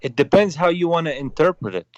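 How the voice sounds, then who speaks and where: A man's voice: on the high side, expressive, fast, no echo, muffled recording. A man taking part in an unscripted religious debate, explaining his position in a hesitant, defensive way. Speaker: debate participant, caller.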